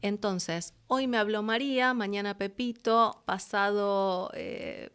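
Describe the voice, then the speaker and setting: A woman's voice: medium pitch, slow pace, a bit bright, clear, resonant tone. A woman with an Argentine Spanish accent talking casually and expressively as an interview guest. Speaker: interview guest, voice actress and producer. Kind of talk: casual conversational interview talk.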